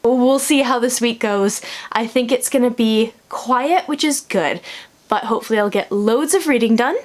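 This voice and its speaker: A woman's voice: fairly high pitch, normal speed. A woman book vlogger chatting casually to her viewers in a rambling, informal way, prone to laughing as she talks. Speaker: book vlogger and podcast co-host. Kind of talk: casual chat to camera in a reading vlog.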